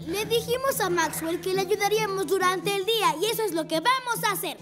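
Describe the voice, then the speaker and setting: A determined little motorcycle boy in a children's cartoon. The voice is very high and youthful, eager and dutiful, with prominent music behind it. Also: fairly quick. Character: earnest, determined young rescue motorcycle boy. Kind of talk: children's cartoon dub acting.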